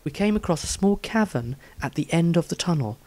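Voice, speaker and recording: A man voicing a worried, thoughtful young woman healer reading out her diary in a measured, earnest way in a fantasy audiobook. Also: on the high side, animated, a little mellow, voice pushed up. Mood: observant, surprised.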